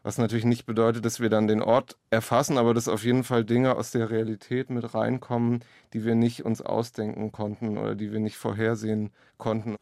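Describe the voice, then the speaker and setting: A man's voice: on the low side, flat delivery, a little fast, creaky, few pauses, a little mellow, no echo, studio quality. A man speaking as an author in an interview, reflecting in a searching, winding way on his own writing. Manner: tentative, searching, winding sentences.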